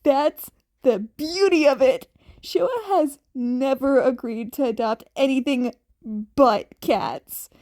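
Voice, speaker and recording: A woman's voice: sits high, takes it slowly, a little mellow. A woman voicing an affectionate, upbeat, sincere man acting as a father figure in a fan fiction audiobook. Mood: sincere, fond.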